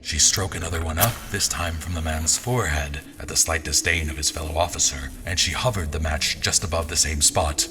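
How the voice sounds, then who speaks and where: A man playing a formal, wry royal adventurer who narrates his journey in a measured audio drama storytelling style. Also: rumbling low, background noise. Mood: measured, brooding. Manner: formal, deliberate, storyteller delivery. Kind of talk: first-person scripted narration in an audio drama.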